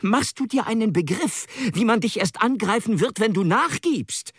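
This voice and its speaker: Impetuous young nephew in business, radio drama. Forceful, very animated, slightly high male voice. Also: moderate pace, slightly rough, no room sound, studio quality, heavily acted. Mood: outraged, urgent. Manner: impetuous, emphatic. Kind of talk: German radio drama acting.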